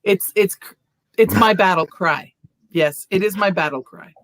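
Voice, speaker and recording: Co-host, woman; middle pitch, dry close sound, playful storyteller. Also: a little slow, dry recording. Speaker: podcast co-host. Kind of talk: casual interview chat.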